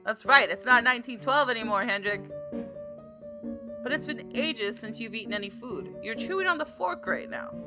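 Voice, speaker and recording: A woman's voice: on the high side, lively intonation, normal speed, music in the background, close to an everyday voice. A woman voicing an imperious, irritable female eldritch creature in a visual novel game, with a commanding, disdainful manner. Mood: scolding.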